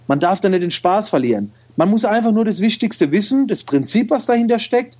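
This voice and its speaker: Man, regional German dialect, high-pitched voice, chatty and loose, guest in a podcast interview. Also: sing-song, a little fast, a little mellow. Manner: chatty, loose, many fillers and restarts. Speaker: guest, photo retoucher and video trainer. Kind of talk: casual interview talk.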